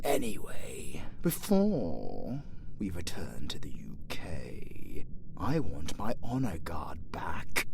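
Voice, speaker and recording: A British man playing a gleefully evil, flirty undead necromancer in an audio roleplay, theatrical and foul-mouthed, swinging between sly whispers and shrill shrieks. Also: vocal fry, fairly bright tone, a little hiss or hum, full character voice. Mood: sly, scheming. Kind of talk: audio roleplay acting spoken to the listener.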